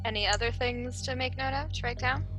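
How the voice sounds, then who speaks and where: A woman's voice: high voice, a little fast, fairly bright tone, soft background music. A woman running a tabletop game as master of ceremonies, with a playful, laughing, sarcastic way of talking.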